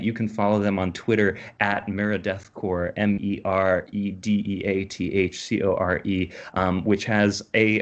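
A man informally hosting a poetry reading over a video call from home. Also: sits low.

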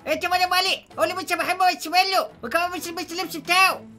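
A goofy chicken character, voiced by a woman, stumbles over its words at a breakneck clip in a thick Egyptian accent. The voice sits very high and bright. It sounds dim-witted and boastful, like a cartoon sidekick in a comic game video. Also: steady tone, soft background music. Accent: Egyptian Arabic. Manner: fast, silly, tripping over words.

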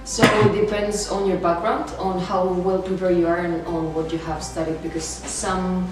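Young woman from Spain, lowish voice, measured, echoey room with clear music behind. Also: flat delivery, distant mic. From north of Spain. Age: young adult. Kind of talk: interview answer. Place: small indoor room. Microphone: far camera mic.

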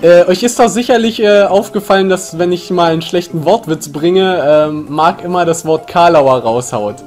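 A man's voice: high-pitched, moderate pace. A young man streaming a video game, joking and punning casually through a headset mic. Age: young adult. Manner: playful punning, laughs often, sudden shouts at the game. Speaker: let's play streamer. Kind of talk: casual gaming livestream chat. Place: small room indoors. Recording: game music behind.

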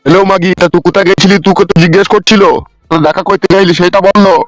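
A man's voice: sits high, level tone, very fast, over loud music, lightly acted, poor audio. A man playing an angry, suspicious, foul-mouthed village husband in a comedy cartoon, scolding and blustering. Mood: threatening.

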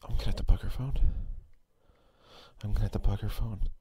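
A man playing a quiet, obsessive, possessive schoolboy in an ASMR roleplay, halting and breathy. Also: on the low side, steady tone, no echo. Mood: dark, scheming. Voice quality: husky, breathy. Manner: halting, stammering, brooding. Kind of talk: ASMR roleplay, intimate acted monologue.

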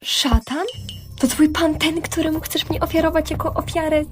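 Woman as high-voiced, lovestruck anime superfan. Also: fairly even intonation, moderate pace, a little hiss or hum, lightly acted. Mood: melodramatic, smitten. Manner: theatrical, breathlessly infatuated, overwrought. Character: melodramatic, lovestruck young female anime superfan. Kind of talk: animated comedy fan dub. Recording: music behind the voice.

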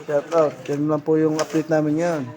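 A high-pitched Filipino man hosts a casual vlog from a busy worksite. He banters and teases as he prompts coworkers to speak. Plenty of voices are heard behind him. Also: monotone, normal speed. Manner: teasing, asking coworkers for comments. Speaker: vlogger host, worker on an installation crew. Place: project worksite. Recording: busy worksite with loud background chatter.

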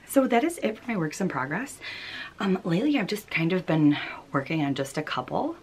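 A woman's voice: on the low side, sing-song, a little fast, slight background noise. An American woman in her thirties chatting casually and informally as a vlog host, recorded in a small room at home. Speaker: knitting podcast host.

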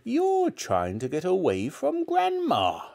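A man acts out a wicked little old grandmother from a children's storybook. The voice is pitched very high and swings wildly up and down. This granny is bossy and scornful, snapping out every order and relishing anything disgusting. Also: huge pitch swings, takes it slowly, vocal fry, darkish tone, exaggerated voice. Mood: menacing. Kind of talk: storybook character reading.

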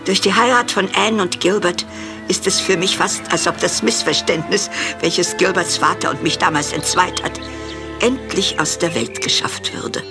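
An actress playing a prim elderly farm woman in an audio drama, dry and measured, with sentiment underneath. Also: fairly low pitch, over music, lightly acted. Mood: reflective. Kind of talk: German audio drama acting.

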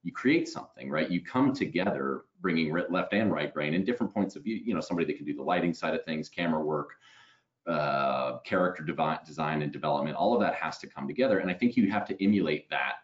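Deep-voiced headset host on a call. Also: male voice, fast. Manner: conversational, tags lines with "right?". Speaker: webinar host. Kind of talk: casual video call interview. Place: home room. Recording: slightly dull video call audio.

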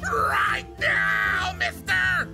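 Fed-up male teacher, animated, scolding, theatrical, music behind, comedy game. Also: very high voice, drawn-out words, creaky, raised voice, exaggerated voice. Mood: outraged. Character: exasperated mustached male high school teacher, easily smitten.

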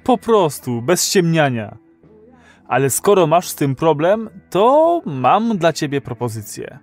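High-pitched, fervent rebel man. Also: sing-song, measured pace, soft background music. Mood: challenging. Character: fervent, persuasive rebel man urging his friend to act. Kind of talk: audio drama acting.

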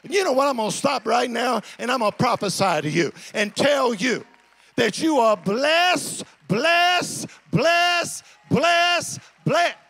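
A man preaching an impassioned sermon to a congregation in an emphatic, declamatory way, repeating phrases for effect, with a gravelly voice. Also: very high voice, animated, takes it slowly, pushed and bright, no echo.

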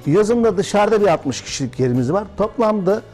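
A man in his fifties from Bursa, a hotel and restaurant owner answering interview questions in a measured way with frequent hesitations. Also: sits high, animated, darkish tone, faint music behind. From Bursa, Turkey. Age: 50s. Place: historic restaurant dining room, indoors.

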